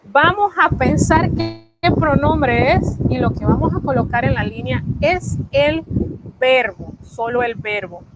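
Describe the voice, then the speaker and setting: Woman teacher, patient and repetitive, high voice, noisy recording. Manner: patient, repeats key points. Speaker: French teacher. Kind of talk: online class explanation. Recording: loud background noise.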